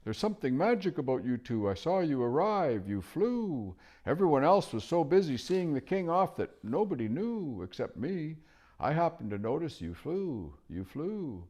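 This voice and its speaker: Fussy sleepy owl with a soft hoot, way up high, guy doing it. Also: very animated, moderate pace. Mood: fretful. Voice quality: soft hooting.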